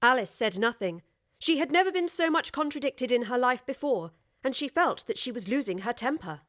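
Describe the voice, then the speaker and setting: A woman narrating a scripted storybook audiobook in a measured, articulate way. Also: a little high, lively intonation, fairly bright tone, no echo, studio quality.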